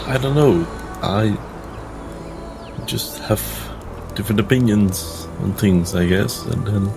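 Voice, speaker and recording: A man's voice: fairly low pitch, creaky. A man playing an earnest, troubled priest in a tabletop game, stammering and unsure of himself.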